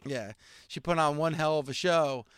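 A man hosting a talk radio show, thinking out loud and restarting his sentences as he argues his point. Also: a little high, normal speed, clear, resonant tone, no echo, clean studio sound. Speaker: radio host.

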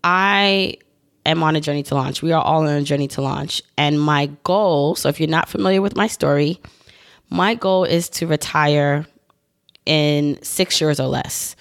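A woman's voice: sits low, measured pace. A woman in her thirties hosting her own podcast, talking solo in a chatty, upbeat way with rambling asides, her voice still recovering after she lost it. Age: 30s. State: recovering from voice loss. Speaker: podcast host.

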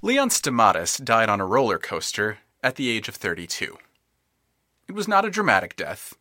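Male narrator for an audio drama, wry and animated, with a bright edge to the tone. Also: fairly low pitch, normal speed, no room sound, clean studio sound.

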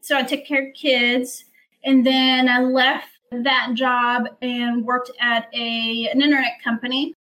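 A woman's voice: high-pitched, level tone, sharp tone, low-quality recording. A woman from Guatemala whose first language is Spanish, a company president speaking as a podcast guest in a friendly, agreeable way. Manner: friendly, agreeable, quick to affirm. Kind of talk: conversational interview.

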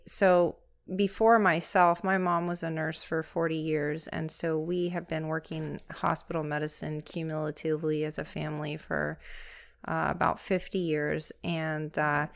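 A woman working as a nurse, answering interview questions in a steady, matter-of-fact way. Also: low-pitched, creaky.

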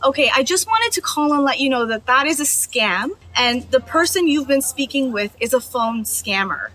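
A woman's voice: sits high, lively intonation, moderate pace, fairly bright tone, a little hiss or hum. A woman, a journalist, making a polite, explanatory phone call to give a warning.

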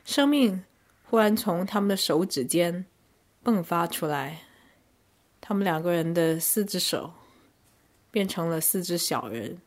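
A woman narrating a scripted first-person arts review in measured, descriptive short phrases. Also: lowish voice, animated. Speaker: podcast host and reviewer.

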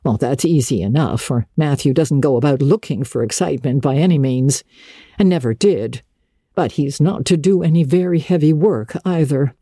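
A woman's voice: deep voice, normal speed, warm tone, slightly rough, no echo, studio quality. A woman voicing a practical, stern older farm woman, plain-spoken and matter-of-fact, in a storybook reading. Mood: concerned, dry.

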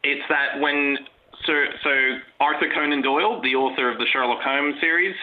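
A man co-hosting a podcast, telling trivia in a relaxed way with frequent ums. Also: a little high, normal speed. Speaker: podcast co-host. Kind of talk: casual chat telling trivia. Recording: phone-like narrow sound.

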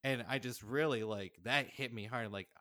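A man's voice: mid-range voice, moderate pace, a bit bright, no echo, clean studio sound. A man speaking earnestly and informally as a guest in a casual interview about his creative work. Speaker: podcast guest, audio drama creator.

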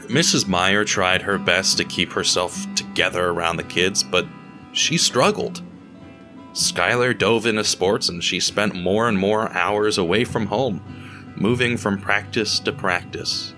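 A man reading scripted dramatic story narration in a measured, deliberate storytelling manner. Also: low voice, fairly even intonation, over music.

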